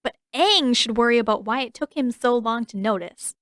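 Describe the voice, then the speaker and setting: A woman voicing a brash, impatient and scornful young tomboy girl in a story reading, with a loud, taunting delivery. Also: a little high, normal speed, no room sound, studio quality, natural voice. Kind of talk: character voice in an audiobook-style fan fiction reading.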